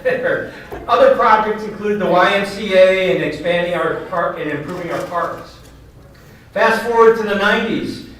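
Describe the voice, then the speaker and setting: Echoey higher-voiced man hosting an event. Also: fairly bright tone. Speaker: event host, foundation chair. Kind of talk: welcome speech at a podium, partly read from notes. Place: large echoing indoor banquet venue. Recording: very echoey hall, distant mic, background noise.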